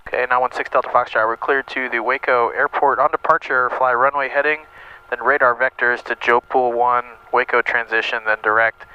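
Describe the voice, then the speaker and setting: A man's voice: medium pitch, fairly even intonation, fairly quick, clean tone, lo-fi sound. A man giving a casual instructional explanation in a plain-spoken, conversational way, as a presenter teaching practical tips.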